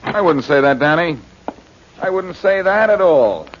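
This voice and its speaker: A breezy, wisecracking adventurer from a forties radio drama. He talks in a glib, teasing way with highly animated intonation. The recording is muffled and has faint noise behind it. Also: a little slow. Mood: teasing, cocky. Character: breezy, cocky, wisecracking male adventurer hero. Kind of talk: 1940s radio crime drama acting. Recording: muffled, noisy 1940s radio broadcast.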